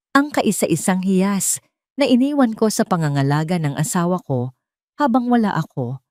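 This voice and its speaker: Low-voiced woman narrating a drama. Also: a little mellow, dry recording, studio quality. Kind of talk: dramatic scripted first-person story narration.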